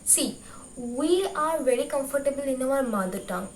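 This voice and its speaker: High-voiced young Bengali woman in roomy recording. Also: expressive, normal speed. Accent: Bengali Indian. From West Bengal, India. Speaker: YouTube host. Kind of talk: informal advice vlog to viewers. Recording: roomy, distant mic with faint noise.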